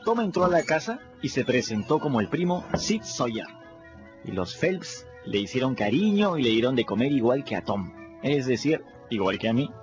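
An actor with a Peruvian Spanish accent playing a quick-witted young boy who tells his own story in a folksy first-person manner in a radio drama. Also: medium pitch, sing-song, music in the background, lightly acted. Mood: storytelling. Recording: dull lo-fi radio recording.

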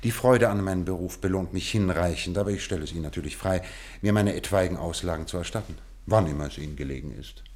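Deep-voiced, courtly gentleman detective played by a man. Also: quick pace, hardly any pauses, close to an everyday voice. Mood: courteous, attentive. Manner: hesitant hums and repeats, courtly phrasing. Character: courteous, shrewd gentleman detective, absent-minded hums. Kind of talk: radio play acting.

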